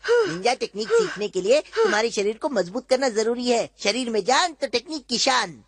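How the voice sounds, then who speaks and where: A woman's voice: fairly high pitch, very animated, normal speed, vocal fry, breathy edge, no echo, exaggerated voice. A woman voicing a sly, bossy trickster ninja in a Hindi cartoon dub, cocky, wheedling and mocking.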